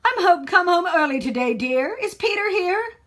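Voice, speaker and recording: A woman's voice: very high-pitched, very animated, normal speed, a bit bright, pitched above the performer's own voice, heavily acted. A woman voicing a little boy who playfully plays at being his father, speaking emphatically in a picture-book read-aloud. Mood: playful pretending.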